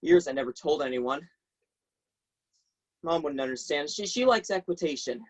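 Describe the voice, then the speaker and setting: A young man playing a troubled, impassioned teenage boy in a halting, emphatic theatrical monologue. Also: a little high, lively intonation. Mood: brooding. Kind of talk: theatrical monologue, staged reading. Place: remote video call.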